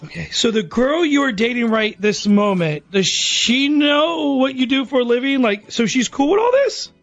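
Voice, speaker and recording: A man's voice: extremely high pitch, animated, normal speed, gravelly, soft background music. A man asking questions casually over lo-fi phone audio, stammering and repeating himself in disbelief. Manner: stammering, incredulous, repetitive.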